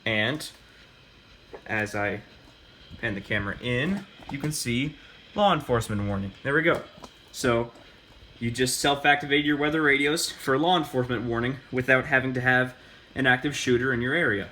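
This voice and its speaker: Halting man with a bright, forward voice. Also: mid-range voice, a little hiss or hum. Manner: halting, self-correcting delivery. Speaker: host of a hobbyist demonstration video. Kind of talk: casual unscripted how-to demonstration.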